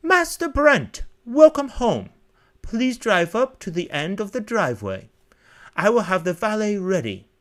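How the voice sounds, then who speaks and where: A man's voice: high-pitched, sing-song, unhurried, a bit bright, pitched above the performer's own voice, exaggerated voice. A man voicing a prim, unflappable butler with an upper-class English accent, formal and haughty, in a story reading. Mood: formal, welcoming.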